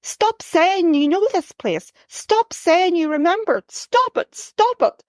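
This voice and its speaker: Worried, loving mother, read by a woman storyteller, pitched up, dry close sound. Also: sing-song, takes it slowly, no echo, exaggerated voice. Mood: distressed. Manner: pleading, rising to upset shouting.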